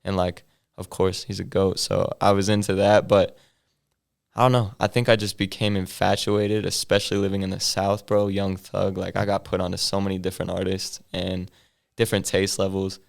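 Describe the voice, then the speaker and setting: A young man, a hip hop artist, talking casually in an interview with a deep, bassy voice that he feels comes out mumbled, in laid-back slang full of fillers. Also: little pitch movement, moderate pace, vocal fry.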